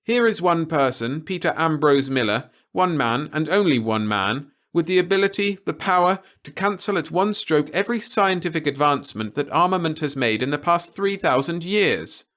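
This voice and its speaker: Guy doing a stern official character for an audiobook, formal and emphatic, raised pitch, very deliberate wording. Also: expressive, a bit bright. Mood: exasperated, insistent. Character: stern, articulate male official lecturing a stubborn man.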